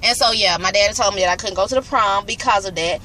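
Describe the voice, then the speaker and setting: A woman's voice: medium pitch, fast talker, pushed and bright, a little hiss or hum. A young adult woman, chatting casually and rambling to camera in her car on the way home. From Birmingham, Alabama, US. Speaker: vlogger.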